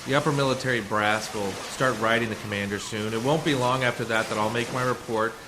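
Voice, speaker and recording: A man playing a calm, assured undercover rescuer in a sci-fi radio play, speaking in a measured, explanatory way. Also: mid-range voice, natural voice. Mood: reassuring, matter-of-fact. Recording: noisy community radio drama recording.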